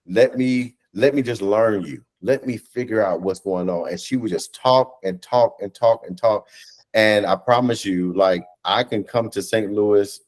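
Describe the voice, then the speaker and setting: Low-voiced American man, earnest and halting. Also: darkish tone. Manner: earnest, halting, repeats phrases for emphasis. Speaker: guest, stage play cast or crew member. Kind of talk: reflective conversational interview. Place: indoors, on a video call. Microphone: video call microphone.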